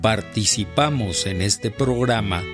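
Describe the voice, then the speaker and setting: A man announcing radio production credits in a formal, scripted, measured style. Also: on the low side. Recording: string music behind. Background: guitar and violin music.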